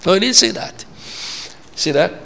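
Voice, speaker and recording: A man's voice: high-pitched, expressive, moderate pace, creaky, bright, forceful tone. A man from Malaysia, preaching a sermon in an emphatic, repetitive way and often checking in with the congregation. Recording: long reverb of a large hall.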